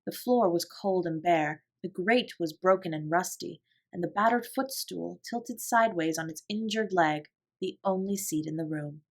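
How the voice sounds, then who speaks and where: A woman narrating a classic children's novel as a bedtime story, in a calm, gentle, measured voice. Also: on the low side. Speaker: audiobook reader.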